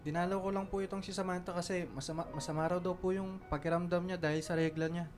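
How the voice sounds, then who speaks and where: Teen boyfriend, played by a man: Filipino, respectful, soft-spoken, radio drama with music behind. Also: high voice, steady tone, fast talker, lightly acted. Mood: polite, sheepish. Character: polite, deferential teenage boyfriend. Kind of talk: Tagalog radio drama acting.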